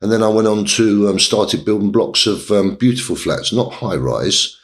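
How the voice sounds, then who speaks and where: A middle-aged man from England, a guest in an interview, telling his story in a halting way with many pauses and restarts. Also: on the low side, lively intonation. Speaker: interview guest, property developer.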